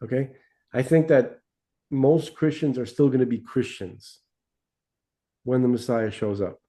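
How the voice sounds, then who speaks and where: A man in casual discussion, mid-pitched with a mellow, dark tone. He rambles and restarts often, checking in with "right?" and "okay?" to keep the listener with him. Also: a little slow. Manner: rambling, many restarts, seeks agreement with tag questions. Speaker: podcast co-host.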